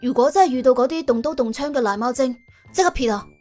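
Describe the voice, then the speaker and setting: High-pitched, wary, sharp-tongued Cantonese woman, as a narrator puts her on. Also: fast talker, soft background music, lightly acted. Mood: cautioning. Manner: sardonic, blunt. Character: wary, sharp-tongued young woman doing temp jobs. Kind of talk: audiobook character acting.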